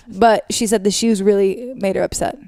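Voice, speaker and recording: A young American woman with a loud voice, telling stories as a co-host in casual, animated podcast chat and swearing freely. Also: medium pitch, moderate pace, dry recording, clean studio sound.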